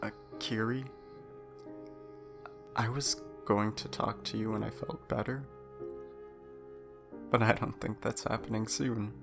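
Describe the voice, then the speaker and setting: Weary, slow-talking man, halting and guilt-ridden. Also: on the low side, fairly even intonation, creaky, over music. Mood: weary, apologetic. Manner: hesitant, apologetic, confessional. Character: weary, guilt-ridden ailing male starship crew member confessing. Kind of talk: audio drama acting.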